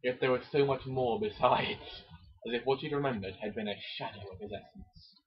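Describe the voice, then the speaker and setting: A man with a husky voice doing an amateur mock-dramatic reading of a romance novel, with chatty asides. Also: medium pitch, fairly quick. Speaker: amateur narrator. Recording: dull lo-fi home recording.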